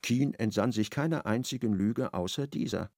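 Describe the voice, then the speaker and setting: A man narrating a radio play in a measured, ironic storytelling manner. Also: fairly low pitch, darkish tone, no room sound, clean studio sound.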